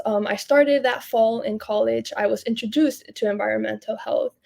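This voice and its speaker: A woman's voice: medium pitch, vocal fry, a little mellow. A woman in her twenties, a student researcher, explaining things conversationally as an interview guest. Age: 20s. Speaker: podcast guest, public health student researcher.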